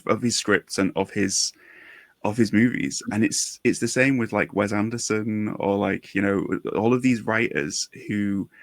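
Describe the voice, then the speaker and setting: A man with a low, gravelly voice chats as a podcast host. He is enthusiastic and effusive, rambling through his thoughts. The sound is dry and close. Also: no room sound. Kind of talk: casual podcast chat.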